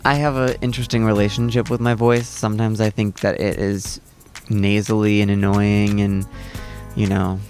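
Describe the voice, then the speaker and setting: A young man vlogging in a soft, chatty, reflective way, talking outdoors in a city, says "like" a lot. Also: lowish voice, flat delivery, normal speed, faint music behind. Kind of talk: casual chat and vlog talk to camera.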